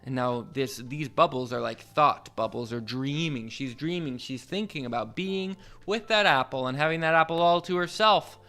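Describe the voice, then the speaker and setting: A man hosting a children's read-along, reading picture books in a playful, expressive way with asides to the young listeners. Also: medium pitch, soft background music. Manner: playful, expressive, drawn-out delivery.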